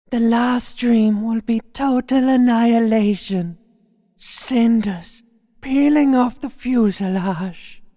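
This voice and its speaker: A man voicing a menacing, taunting inner voice of the nervous system in a video game, theatrical, breathy and ominous. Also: very high voice, long reverb tail, exaggerated voice. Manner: slow, breathy, dramatic, ominous.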